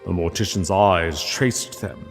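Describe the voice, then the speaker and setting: A man narrating a scripted literary story in a solemn, measured way with dramatic pauses. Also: sits low, long reverb tail, soft background music.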